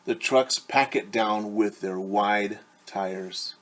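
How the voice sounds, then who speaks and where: A man's voice: fairly low pitch, takes it slowly, fairly bright tone. A middle-aged man, a teacher, reading a picture book aloud to children and explaining things simply. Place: small room at home.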